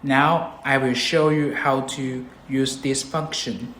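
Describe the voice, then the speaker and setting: A man works through a scripted tutorial as a product demonstrator, speaking slowly and step by step. His voice sits at a medium pitch, with noticeable room echo and a faint layer of noise behind it. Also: level tone, fairly bright tone. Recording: roomy echo, background noise.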